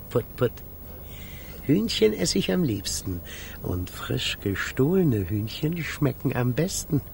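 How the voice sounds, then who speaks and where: An actor playing a greedy, self-satisfied tramp who steals chickens, savouring words theatrically in a children's radio play. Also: male voice, on the low side, vocal fry, a little hiss or hum, heavily acted. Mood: gloating. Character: gloating, greedy male chicken thief and tramp.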